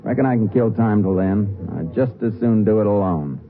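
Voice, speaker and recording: An actor plays a deep-voiced American tough man, guarded and surly. He answers in terse, folksy phrases, in 1950s radio crime drama style. The old recording sounds thin and tinny. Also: normal speed, slight background noise, close to an everyday voice. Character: tough, guarded lawman posing as surly drifter.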